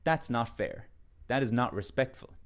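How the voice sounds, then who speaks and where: A man's voice: mid-range voice, lively intonation, normal speed. A man giving a short English lesson, emphatic and mock-indignant in a lecturing way. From Ireland. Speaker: English teacher. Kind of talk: direct-to-camera short lesson.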